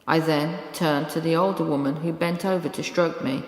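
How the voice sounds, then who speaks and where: A woman's voice: sits low, steady tone, moderate pace, natural voice. A British woman voicing a loving, clever rescue dog that tells its own story in a bedtime storybook style. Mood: urgent, determined. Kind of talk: first-person storybook narration. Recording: echoey room with long reverb.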